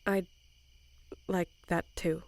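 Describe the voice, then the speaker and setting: A woman's voice: fairly low pitch, flat delivery, slow, creaky, no echo. A woman playing an earnest, reserved but determined young female camp counselor in an audio drama, sincere and plain-spoken. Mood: reserved, friendly.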